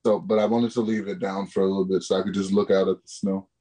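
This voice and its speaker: Low-voiced man, easygoing co-host. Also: little pitch movement, quick pace, warm tone. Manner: brief, easygoing replies. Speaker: podcast co-host. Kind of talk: casual chat on a live video podcast. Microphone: video call.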